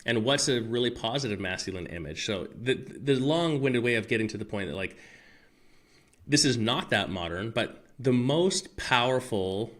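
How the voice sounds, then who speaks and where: A man talking casually on a podcast, animated and rambling, with restarts and the odd swear. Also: fairly low pitch. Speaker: podcast guest. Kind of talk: casual conversation.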